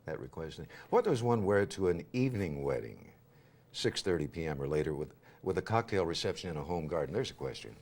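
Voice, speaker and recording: Deep-voiced joking talk show host. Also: male voice, big pitch swings, brisk, darkish tone. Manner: joking, teasing, reads listener questions aloud. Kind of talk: casual studio chat with a guest. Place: TV studio.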